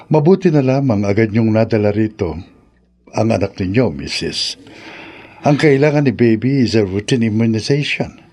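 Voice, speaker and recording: An actor with a Filipino accent playing a calm, authoritative, reassuring doctor in a radio drama. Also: male voice, on the low side, normal speed.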